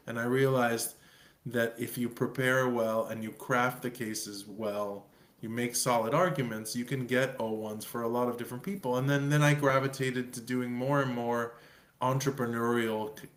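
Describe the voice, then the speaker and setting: A middle-aged man from Argentina, an immigration lawyer, answering in an earnest, explanatory way on a video call. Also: medium pitch. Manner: earnest, explanatory, repeats words as he restarts. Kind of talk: webinar interview answer. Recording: echoey room.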